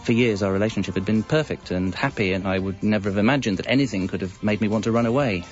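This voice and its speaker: An actor playing a wry, evasive British husband and father in his thirties, confessing in a deadpan, conversational comic narration. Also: lowish voice, fast talker, lightly acted. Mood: confiding, deadpan. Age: 30s. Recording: dull, lo-fi radio audio. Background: music.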